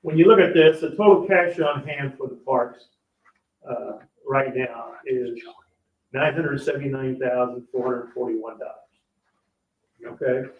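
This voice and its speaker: A man speaking as an official at a public meeting, explaining finances in a halting, plain-spoken way and often checking that listeners follow. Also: mid-range voice. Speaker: parks board official presenting the budget. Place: indoor public board meeting room. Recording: echoey meeting room, distant dull mic.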